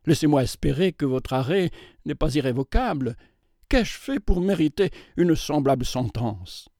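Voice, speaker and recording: A man's voice: fairly high pitch, lively intonation, normal speed, warm tone, no room sound, clean studio sound. A man voicing an aging, gallant bachelor collector who pleads in an earnest, courtly, emphatic way.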